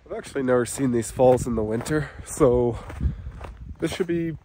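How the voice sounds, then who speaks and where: A man's voice: moderate pace, mellow. A man, talking casually to the camera in a laid-back travel vlog and sometimes trailing off. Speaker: vlogger. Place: outdoors in snowy woods, sometimes in a vehicle. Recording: outdoor background noise, vehicle rumble.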